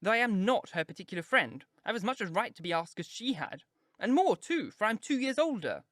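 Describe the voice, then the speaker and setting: Male reader as a peevish, sulky young woman, raised and bright, complaining. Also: high-pitched, very animated, a little fast, no echo, clean studio sound, voice pushed up.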